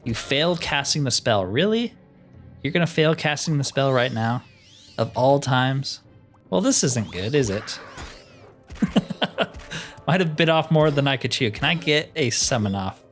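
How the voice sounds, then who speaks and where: A man's voice: medium pitch, lively intonation, unhurried, long pauses, faint music behind. A man giving casual, wry commentary as he plays through a video game, often with dry humor. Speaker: gaming video host.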